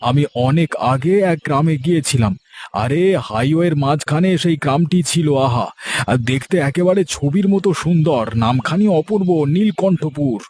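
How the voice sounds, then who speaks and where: A man playing a genial, indulgent husband and father in a cartoon, hearty and reassuring in his expressive talk. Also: on the high side, moderate pace, slightly rough. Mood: cheerful, reassuring. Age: adult. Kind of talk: cartoon voice acting.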